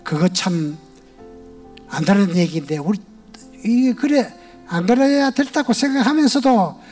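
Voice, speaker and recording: Older Gyeongsang man, high mellow voice. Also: lively intonation, a little slow, soft background music. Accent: Gyeongsang Korean. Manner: folksy, homespun storytelling. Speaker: retired church elder. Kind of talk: personal testimony to a congregation. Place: large church sanctuary.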